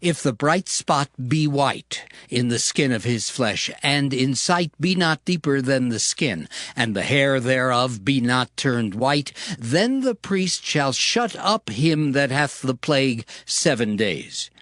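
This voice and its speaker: A man playing God as a solemn, commanding lawgiver in a dramatized scripture reading, with measured, declamatory delivery. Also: medium pitch, expressive, fairly bright tone, no echo, studio quality. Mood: solemn, instructive. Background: music.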